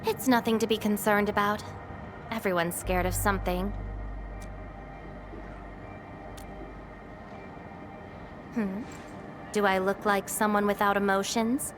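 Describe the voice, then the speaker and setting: A woman voicing an aloof young female swordfighter in a video game, teasing and quietly melancholy, with hesitant pauses. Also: mid-range voice, natural voice. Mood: cool, teasing.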